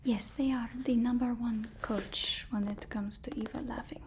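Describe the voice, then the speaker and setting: A woman whispering an ASMR roleplay as a deferential, flattering personal assistant, often repeating her words softly and hesitantly. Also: fairly high pitch, moderate pace, slight background noise. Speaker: ASMR performer.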